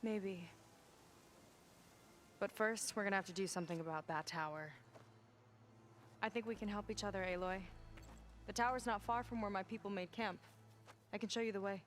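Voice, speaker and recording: Bold, brisk young warrior woman played by actress. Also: mid-range voice, soft background music, natural voice. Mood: probing. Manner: brisk, direct, confident. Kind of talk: video game cutscene dialogue.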